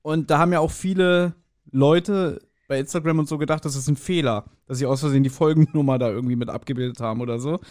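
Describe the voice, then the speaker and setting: Higher-voiced man in joking podcast chat. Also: fast. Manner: joking, teasing, animated storytelling. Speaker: podcast co-host.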